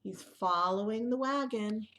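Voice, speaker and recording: A woman reading a picture book aloud to young children in an expressive way, with asides and questions to the listeners. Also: mid-range voice, unhurried.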